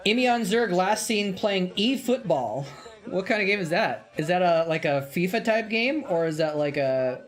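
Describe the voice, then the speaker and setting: A slightly high-voiced man hosting a live stream in a loose, rambling way. He chats to viewers between moments of the game, gets worked up when the action turns, and laughs easily. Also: lively intonation, normal speed, faint music behind. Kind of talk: casual live-stream commentary and chat.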